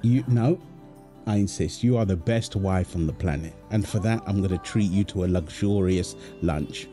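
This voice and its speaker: A man's voice: deep voice, moderate pace, dark tone, soft background music, lightly acted. A man playing a tender, playful young husband and doting father in an ASMR roleplay, soothing and teasing as he talks. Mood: affectionate, teasing. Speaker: voice actor of a roleplay audio. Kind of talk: ASMR boyfriend roleplay, acted one-sided dialogue.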